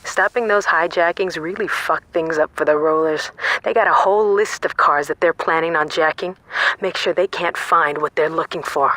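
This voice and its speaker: A woman's voice: low-pitched, moderate pace, sharp tone, poor audio. A woman playing a tough, defiant young gang member in a video game, brash and profane. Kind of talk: video game cutscene acting.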